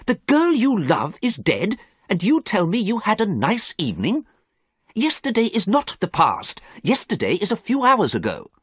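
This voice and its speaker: A man voicing an earnest, high-pitched worried painter. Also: huge pitch swings, brisk, husky, no room sound, studio quality, heavily acted. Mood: shocked, sad. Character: earnest, gentle, worried male painter and friend.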